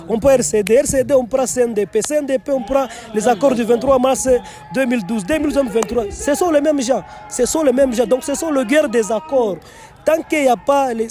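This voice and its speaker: A young man from Goma with a Congolese French accent, an NGO director answering interview questions earnestly and repeating phrases for emphasis, outdoors on location. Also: very high-pitched. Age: young adult. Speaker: NGO director and youth political official. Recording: loud crowd noise behind.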